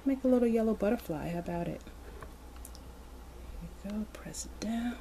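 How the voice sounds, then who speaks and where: A woman hosting a kids' toy video, narrating as she plays with modeling clay in a slow, gentle, playful way with soft asides. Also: mid-range voice. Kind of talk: hands-on toy play narration for kids. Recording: background noise.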